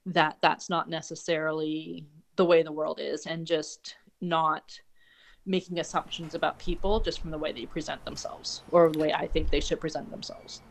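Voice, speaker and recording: A woman from Canada, a podcast guest speaking calmly and reflectively in a low, soothing voice. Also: normal speed, creaky, poor audio. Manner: calm, even, reflective. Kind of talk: casual conversation.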